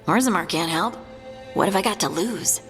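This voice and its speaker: An actress playing an earnest, curious dwarf scholar in a video game, explaining things thoughtfully. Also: female voice, on the low side, animated, normal speed, a bit bright. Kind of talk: video game character acting. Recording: long hall reverb, faint music.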